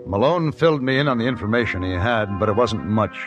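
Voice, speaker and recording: Over prominent music comes an actor as a Western investigator in an old-time radio drama. He is an American man with a low voice. His delivery is terse, steady and unruffled. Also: fast talker, muffled recording, lightly acted. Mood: measured, narrating. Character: laconic, steady male Western investigator on the trail. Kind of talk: old-time radio western acting and first-person narration.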